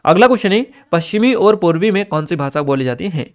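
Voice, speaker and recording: A man with a somewhat high-pitched voice teaches for an exam. He reads each question out from his notes, then explains the answer in an instructional manner, now and then checking that listeners follow. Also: lively intonation, fast. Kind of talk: exam paper analysis lesson, reading out questions and explaining answers.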